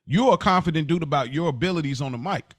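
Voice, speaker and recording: A man's voice: a little high, animated, quick pace, no echo, clean studio sound. A man co-hosting a podcast, casually pressing his point with questions and repeated phrases in informal language. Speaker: podcast host.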